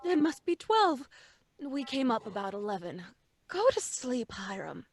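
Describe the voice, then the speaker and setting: A woman's voice: fairly high pitch, very animated, a little slow, soft background music. An actress playing a commanding, easily alarmed wife and mother in dramatic old-time radio style. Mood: tense. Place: small dark home booth. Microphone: close studio microphone.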